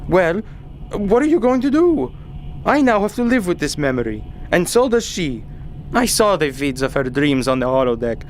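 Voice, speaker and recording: A man's voice: a little high, big pitch swings, normal speed, slight background noise. A man playing a devoted, gallant and protective father and pilot in an audio drama. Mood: bitter.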